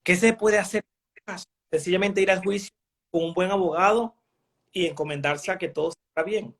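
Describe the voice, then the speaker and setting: A journalist from Venezuela asking an expert long, winding questions with many hesitations. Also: male voice, high-pitched, brisk. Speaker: journalist interviewer. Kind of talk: interview questions.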